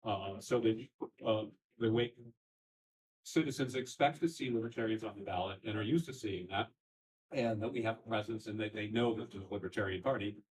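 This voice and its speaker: A man giving a short campaign speech at a party meeting, earnest and halting with fillers, in a meeting room. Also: on the low side. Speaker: candidate for county party chair. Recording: voice from far off the mic.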